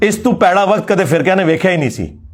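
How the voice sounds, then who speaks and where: High-pitched Pakistani Punjabi man lecturing combatively. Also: expressive, quick pace. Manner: combative, mocking, emphatic. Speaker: religious lecturer. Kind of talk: informal polemical religious lecture.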